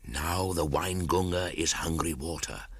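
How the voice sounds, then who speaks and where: A man voicing an ancient, wise and cunning giant python for a storybook, gravelly and breathy, speaking archaically and deliberately, sinking toward whispers. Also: sits low, steady tone, a bit bright, no echo, full character voice. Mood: cunning, ominous. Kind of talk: audiobook storybook character acting.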